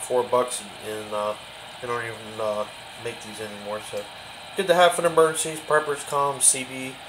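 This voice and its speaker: A man giving a casual, off-the-cuff show-and-tell as a hobby video host, speaking in halting, trailing phrases in a kitchen behind a handheld camera. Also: medium pitch. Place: home kitchen, indoors. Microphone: handheld camera, behind the lens. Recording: clearly noisy home camera recording.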